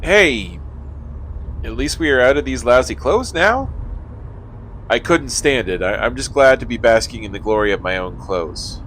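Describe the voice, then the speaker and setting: A man's voice: medium pitch, expressive, normal speed, a bit bright, a little hiss or hum, natural voice, rough recording. A man playing an indignant, blunt buddy in an audio comedy-drama, with an exasperated, emphatic delivery. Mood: wry, upbeat. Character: indignant, blunt young man, loyal griping buddy Stu.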